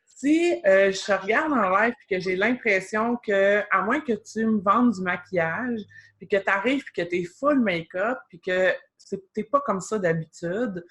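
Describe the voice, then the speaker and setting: A woman's voice: mid-range voice, a little slow, fairly bright tone. Chatty Québécoise woman giving advice. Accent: Québécois French. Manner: chatty, explanatory, frequent "tu sais". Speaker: guest expert. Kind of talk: casual advice chat.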